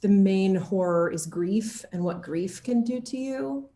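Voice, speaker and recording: An American woman talks casually as an interview guest. Her voice sits at a middle pitch and she is animated and giggly. The recording is noticeably echoey, like a live room. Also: measured pace. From Seattle, Washington, USA. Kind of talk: casual chat. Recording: roomy, echoey sound.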